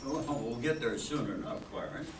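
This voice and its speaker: A man's voice: medium pitch, lively intonation, moderate pace, close to an everyday voice. An older man playing a kindly senior angel who narrates and gives orders in a radio play. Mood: storytelling. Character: kindly senior male angel, storytelling and in charge. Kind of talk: old-time radio play acting, narrating. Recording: echoey, distant, noisy stage recording.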